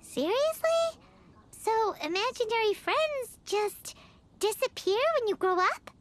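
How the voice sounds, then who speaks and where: A woman voicing a tiny, excitable, childlike fairy companion girl in a video game, nosy and blunt, with a squeaky voice. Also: slow pace, a little hiss or hum, full character voice. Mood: excited, curious. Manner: exclamatory, talks about self in third person. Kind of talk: video game character dialogue.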